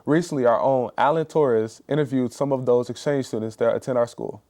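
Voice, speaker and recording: A young man with a mellow, darker tone reads school announcements steadily. He sounds like a student anchor working through a script. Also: mid-range voice, fairly quick. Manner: steady, read-aloud delivery. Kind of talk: read announcements.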